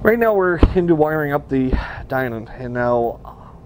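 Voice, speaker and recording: A man giving hands-on instruction in a workshop, talking viewers through his work in a relaxed, practical way while he works at the bench. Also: on the high side, unhurried, slight background noise. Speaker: aircraft builder demonstrating.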